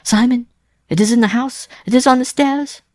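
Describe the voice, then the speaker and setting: A man's voice: high-pitched, lively intonation, normal speed, creaky, no echo, studio quality, pitched above the performer's own voice, full character voice. A man voicing a frightened, worn-out wife in an audiobook, pleading breathlessly in an overwrought way. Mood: terrified. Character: desperate frightened wife, worn-out former circus performer. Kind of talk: audiobook character acting.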